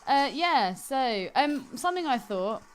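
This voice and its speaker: A woman's voice: high voice, sing-song, unhurried. A woman co-hosting a podcast, chatting casually and teasingly about films. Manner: teasing, giggly, swears. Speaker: podcast co-host. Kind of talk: casual film chat.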